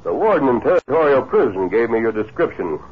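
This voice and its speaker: An actor playing a calm, stern, commanding masked lawman in a 1950s radio western. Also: medium pitch, quick pace, slightly rough. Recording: muffled, faintly noisy 1950s radio recording.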